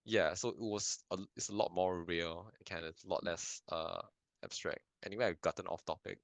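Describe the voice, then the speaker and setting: A man's voice: low-pitched, steady tone, a little fast, gravelly, no echo. A young man from Singapore, a filmmaker answering interview questions in a hesitant, thoughtful way with many restarts. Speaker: filmmaker guest. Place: lives in Canada.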